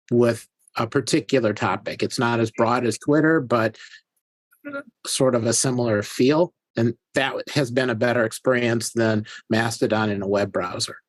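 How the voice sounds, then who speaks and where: A man talks at length as a guest on a casual video-call panel, in a chatty, explaining way. His pitch is middle-of-the-road. The recording is dry and close. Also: dry recording.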